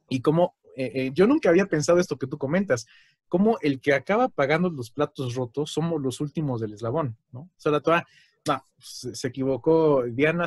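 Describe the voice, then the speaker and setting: A man's voice: a little high, darkish tone, dry recording. Chatty Mexican man with a dry sound. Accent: Mexican Spanish. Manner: chatty, frequent fillers and tag questions. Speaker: host. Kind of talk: casual conversation.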